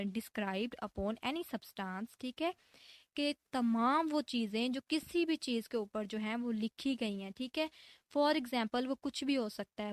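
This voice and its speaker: A woman's voice: a little high, no echo. A woman with a Pakistani accent giving an explanatory exam-prep law lecture, repeating key points with emphasis and frequent check-in tags. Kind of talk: explanatory exam-prep lecture, mixing in English legal terms.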